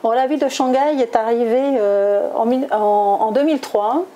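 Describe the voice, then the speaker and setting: A woman's voice: on the high side, moderate pace, dark tone. A middle-aged woman from southwest France answering interview questions conversationally, with many hesitations and self-corrections. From Toulouse, southwest France. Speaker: interviewee, executive recruiter.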